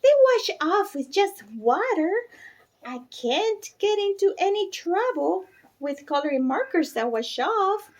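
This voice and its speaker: A woman voicing a whiny, insistent little girl in a storybook, wheedling with drawn-out pleas. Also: very high-pitched, very animated, voice pushed up, full character voice. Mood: pleading, insistent. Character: pleading, whiny little girl wanting markers.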